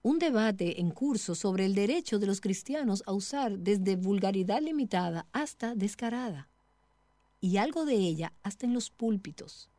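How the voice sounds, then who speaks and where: A middle-aged woman giving a devotional teaching talk as a Bible teacher, speaking in a measured, earnest way directly to the listener. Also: medium pitch, dark tone, no echo.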